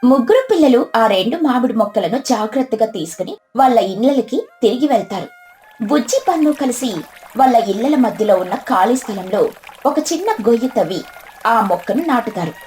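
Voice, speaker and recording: A woman's voice: on the high side, expressive, brisk, a little mellow, faint music behind. A woman narrating a scripted story for children.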